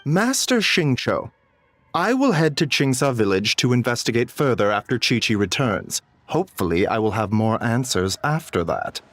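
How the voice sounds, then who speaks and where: An actor playing a gentle, modest doctor in a video game, speaking in a polite, formal, measured manner. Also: male voice, mid-range voice, very animated, slight background noise, natural voice. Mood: thoughtful. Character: gentle, courteous male doctor, composed and modest.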